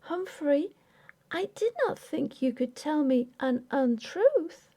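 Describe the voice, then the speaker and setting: Woman acting high-pitched, indignant young Victorian wife. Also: lively intonation, slow pace, mellow. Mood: accusing. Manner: formal, emphatic, pleading Victorian speech. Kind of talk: dramatic audiobook character acting.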